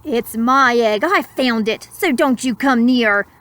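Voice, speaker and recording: A woman's voice: fairly high pitch, slow pace, no room sound. A woman voicing a big, possessive, grumpy female turtle in a storybook, in a defensive manner. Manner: defensive, yelling.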